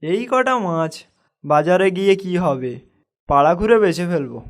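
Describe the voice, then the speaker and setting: Man voicing a high-voiced humble young fisherman. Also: expressive, unhurried. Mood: modest. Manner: earnest, modest. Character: humble, hardworking poor young fisherman, a husband. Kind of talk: cartoon dialogue acting.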